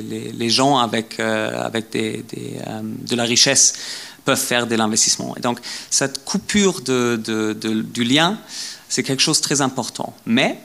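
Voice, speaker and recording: A man giving an academic lecture in a language that is not his first, halting often with repeated words and fillers. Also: medium pitch, gravelly, sharp tone. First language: not French. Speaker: guest lecturer.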